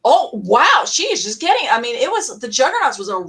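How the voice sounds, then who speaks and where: A woman with a fairly high voice talks casually as a fan on a podcast panel. She is emphatic and gushing, often slipping into little acted-out bits of remembered conversation. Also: big pitch swings, fairly quick, a bit bright. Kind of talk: casual fan chat with animated anecdotes.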